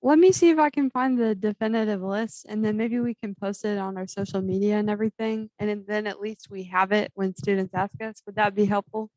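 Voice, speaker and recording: A woman chairing a student government meeting over a video call, brisk and upbeat as she calls on people. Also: medium pitch, fairly even intonation, darkish tone, dry recording, rough recording. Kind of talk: running a meeting.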